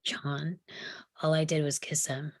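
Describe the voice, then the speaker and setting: A woman's voice: low-pitched, flat delivery, unhurried. A woman playing a defiant, sardonic young psychiatric patient in a screenplay table read, with a guarded, dry, challenging delivery. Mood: provocative, guarded.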